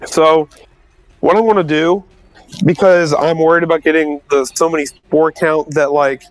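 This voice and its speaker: A man's voice: fairly high pitch, steady tone, normal speed, rough recording. A man playing a tabletop game, casually describing his moves and working out his rolls aloud. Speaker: player.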